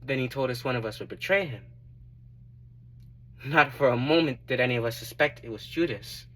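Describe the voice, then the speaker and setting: A man performing a dramatic monologue as a repentant tax collector turned devoted disciple, earnest and reflective, with deliberate emphatic swells. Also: medium pitch, bright, forceful tone, lightly acted. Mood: grieving. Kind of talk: dramatic monologue, first-person testimony.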